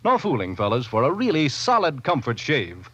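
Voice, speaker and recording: A man's voice: medium pitch, huge pitch swings, moderate pace, fairly bright tone, slightly rough, dry recording. A man reading a 1940s radio commercial as the announcer, upbeat and salesmanlike. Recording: muffled vintage radio broadcast.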